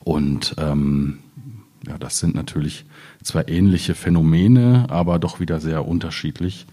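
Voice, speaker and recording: A man's voice: very deep voice, unhurried, dark tone. A man from the Ruhr area in Germany speaking as a poet in a literary discussion, hesitant and repeating words as he thinks.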